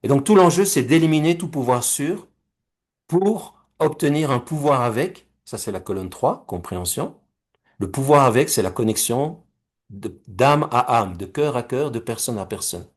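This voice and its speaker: Middle-aged male speaker, husky, mid-pitched, patient explainer of a method. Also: expressive. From Belgium and Rwanda. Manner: measured, explanatory, uses homely comparisons and questions to listeners. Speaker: speaker presenting his conflict-resolution method. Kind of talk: recorded talk.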